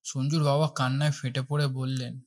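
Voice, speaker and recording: A man's voice: mid-range voice, flat delivery, fairly quick. A man reading dramatic scripted first-person narration for a horror story, with music behind him.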